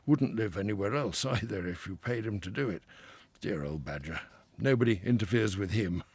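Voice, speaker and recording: A man voicing a hearty, self-assured male water rat who talks loudly and with relish. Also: on the low side, normal speed, slightly rough, dry recording. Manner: loud, confident, lively, with chuckles.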